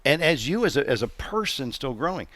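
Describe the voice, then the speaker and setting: Middle-aged male host; earnest, halting, mid pitch, dry sound. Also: sing-song, fairly bright tone, no echo. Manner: earnest, guiding, halting restarts. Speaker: podcast host. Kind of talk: reflective conversational interview.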